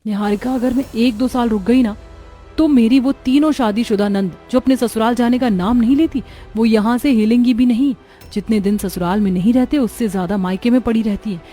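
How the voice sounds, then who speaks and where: High-voiced resentful young wife, acted by a woman. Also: fairly quick, mellow, lightly acted. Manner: complaining, scolding. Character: resentful, sharp-tongued young married sister-in-law. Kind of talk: Hindi cartoon story dialogue. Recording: music behind the voice.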